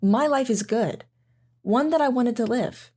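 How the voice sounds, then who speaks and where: A woman's voice: a little high, unhurried, mellow, breathy edge. A woman playing a loving mother in an audio drama, speaking in a reflective, sentimental way. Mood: wistful, tender. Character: loving, wistful mother recalling camping with her daughter. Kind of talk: audio drama narration and dialogue.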